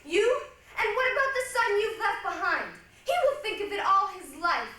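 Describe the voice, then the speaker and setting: A woman's voice: very high voice, sing-song, moderate pace, bright, forceful tone, voice pushed up, exaggerated voice. A woman playing a poor man's scornful, worried wife, bitter and scolding in dramatic readers' theatre. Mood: reproachful. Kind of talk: stage readers' theatre acting. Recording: echoey hall, distant mic, faint noise.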